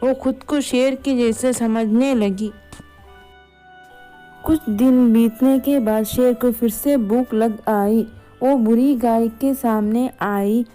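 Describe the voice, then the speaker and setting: A woman's voice: on the high side, mellow. A woman narrating a scripted moral story as a storyteller. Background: background music.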